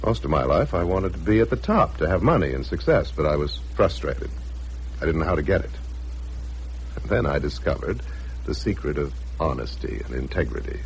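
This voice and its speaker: A man's voice: rumbling low, sing-song, fairly quick, gravelly, exaggerated voice. An actor playing a suspicious, scheming and smug husband and businessman in a brooding, calculating 1960s radio drama style. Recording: noisy old radio drama recording.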